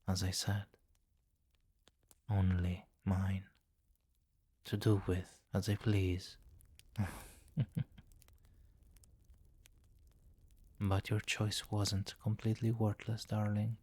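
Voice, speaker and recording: A man voicing a possessive, seductive vampire king in an intimate ASMR roleplay, speaking formally and deliberately and often dropping into a whisper. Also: on the low side, a little mellow, no echo. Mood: possessive, admiring. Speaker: audio roleplay performer.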